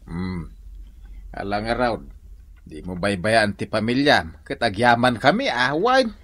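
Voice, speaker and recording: A man's voice: on the low side, big pitch swings, unhurried, a bit bright, heavily acted. Man acting grumbling old father-in-law, wildly swooping voice. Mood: grateful. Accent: Ilocano. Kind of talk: Ilocano radio drama acting.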